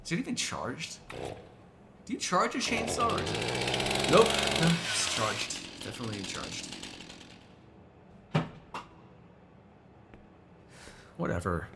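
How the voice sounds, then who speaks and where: A man's voice: on the low side, huge pitch swings, measured pace, vocal fry, very noisy, full character voice. A man playing a sarcastic, teasing vampire who kills without regret, in casual, profane roleplay banter. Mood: teasing, exasperated. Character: snarky male vampire, restless unrepentant killer, teasing. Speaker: voice actor in an audio roleplay. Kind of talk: ASMR roleplay, casual one-sided dialogue.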